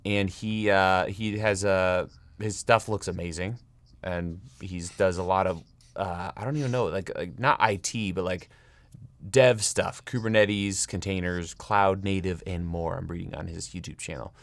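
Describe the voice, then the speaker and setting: A man co-hosting a casual chat, talking haltingly with many pauses and restarts. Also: low-pitched, steady tone, fairly bright tone, no echo. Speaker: podcast co-host.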